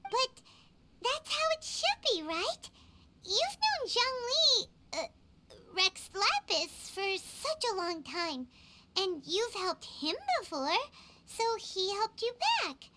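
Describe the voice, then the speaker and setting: A woman's voice: extremely high pitch, big pitch swings, slow, very noisy, heavily acted. A woman voicing a tiny floating female companion in a game, bubbly and eager, with a reassuring tone. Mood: encouraging. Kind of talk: video game voice acting.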